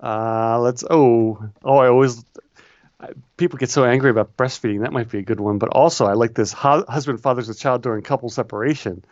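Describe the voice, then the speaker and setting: Guy reading advice letters out loud, laid back, dry muffled mic. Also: medium pitch, fairly quick, no room sound. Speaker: podcast co-host. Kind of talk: casual chat, reading advice letters aloud.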